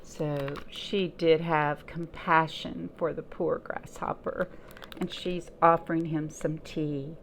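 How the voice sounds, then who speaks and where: A woman reading and chatting to young children as a storytime host, in an expressive sing-song way with lots of simple questions. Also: low voice, slow. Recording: noticeable background noise.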